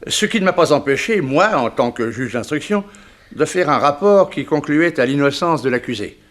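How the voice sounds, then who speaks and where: An actor playing a shrewd, dry examining magistrate in a 1960s French radio drama, speaking formally and with authority. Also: male voice, fairly high pitch, expressive, normal speed, natural voice. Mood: measured, reasoning.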